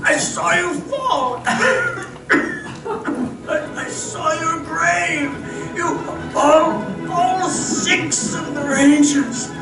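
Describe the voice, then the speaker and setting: A woman playing a snarling, dying male outlaw gang leader in an old-time radio Western, gasping and halting. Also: very high-pitched, expressive, gravelly, exaggerated voice. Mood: shocked, dying. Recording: roomy distant stage pickup with music.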